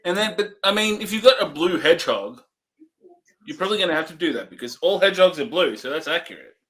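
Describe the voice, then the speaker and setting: A man's voice: high-pitched, expressive, fast talker, fairly bright tone. A man co-hosting a casual movie review, giving loose, hesitant opinions with frequent ums and restarts. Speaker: co-host.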